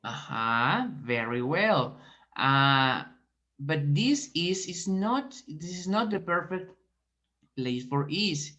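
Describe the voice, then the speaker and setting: Slow, patient woman teacher on a call. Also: low voice, lively intonation, fairly bright tone. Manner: slow, encouraging, questioning. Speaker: teacher trainee giving feedback. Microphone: video call. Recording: dull video call audio.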